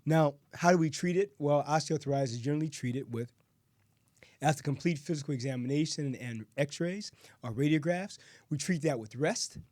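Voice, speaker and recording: A man's voice: on the high side, normal speed, darkish tone, dry recording. A man hosting a health podcast as a physician, explaining medical topics in a conversational way and sometimes correcting himself mid-sentence. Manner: explanatory, with frequent self-corrections. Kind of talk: health talk and interview.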